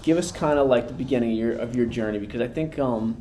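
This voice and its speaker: A man's voice: mid-range voice, fast, dark tone, a little hiss or hum. A man hosting a podcast, who jokes loosely and keeps the chat upbeat. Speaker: podcast host. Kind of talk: casual interview hosting.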